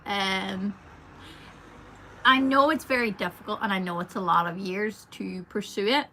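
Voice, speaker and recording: A woman's voice: mid-range voice, a little slow, bright, forceful tone, slight background noise, low-quality recording. A young adult woman, a singer, chatting casually through a livestream Q&A with lots of fillers and a rambling manner. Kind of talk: casual livestream Q&A, reading viewer comments.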